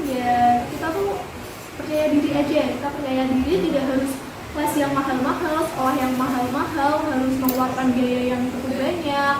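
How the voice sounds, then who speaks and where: A woman's voice: sits high, fairly even intonation, normal speed. A woman with a light Javanese accent, chatting casually as a guest speaker and giving advice with many fillers. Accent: Javanese-tinged Indonesian. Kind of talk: casual interview answers. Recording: echoey room from far off, noisy.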